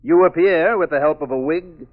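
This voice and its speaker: A man's voice: on the high side, normal speed, close to an everyday voice. Man playing a tough radio sleuth, dull vintage recording. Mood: accusing. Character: tough, cocky male amateur sleuth husband. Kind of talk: 1940s radio mystery acting.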